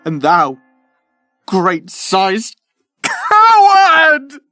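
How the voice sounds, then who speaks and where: An actor playing a bitter, vengeful young prince with impassioned declamation in a Shakespearean audio drama. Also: male voice, very high voice, wildly swooping pitch, drawn-out words, pushed and bright, no room sound, clean studio sound, faint music behind, heavily acted. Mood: vengeful fury.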